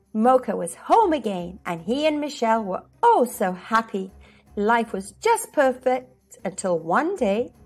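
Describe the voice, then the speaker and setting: High-voiced, animated woman storyteller. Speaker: storyteller, channel host. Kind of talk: children's storybook read-aloud.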